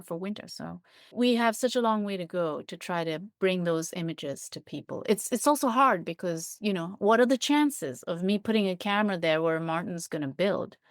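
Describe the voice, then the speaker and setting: A woman's voice: lowish voice, dry recording, studio quality. A woman speaking as an interview guest, explaining things in a thoughtful, descriptive and earnest conversational way, repeating points for emphasis. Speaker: interview guest, biologist and writer.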